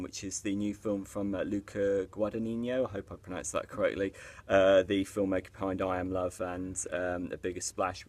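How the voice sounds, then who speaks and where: Deep-voiced man hosting film chat. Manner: chatty, laughs often, many fillers. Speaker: YouTube film review host. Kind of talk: casual film review chat.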